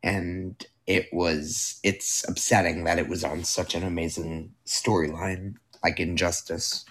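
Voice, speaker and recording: Slow-talking young man, losing his voice. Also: low voice, little pitch movement, fairly bright tone. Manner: rambling, self-correcting, with filler words. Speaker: podcast host. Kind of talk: casual solo podcast chat. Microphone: new microphone being tested.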